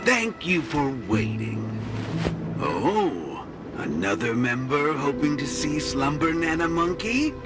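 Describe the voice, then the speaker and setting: An actor playing a courteous, calm, mysterious man greeting visitors at a cult gathering in a video game, speaking politely and formally. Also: fairly high pitch, takes it slowly. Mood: welcoming. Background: game music.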